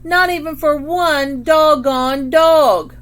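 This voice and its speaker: A woman's voice: sits high, slow pace, voice pushed up. A woman voicing an exasperated mother in a children's storybook, groaning and wailing with emphasis.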